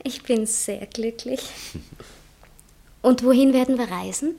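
An actress playing an adoring but proud, touchy society fiancée with an Austrian accent in a theatrical radio comedy, coquettish and self-assertive. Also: female voice, on the high side, a little slow, gravelly, dark tone.

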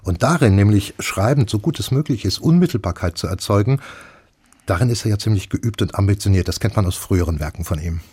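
A man's voice: on the low side, lively intonation, quick pace, a little mellow, breathy edge. A man hosting a radio programme on audiobooks, presenting and discussing books in articulate, elaborate phrases.

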